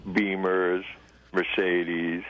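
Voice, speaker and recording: Male caller, lowish, earnest and opinionated; dry phone-in. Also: little pitch movement, drawn-out words, no echo, muffled recording. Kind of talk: call-in radio chat.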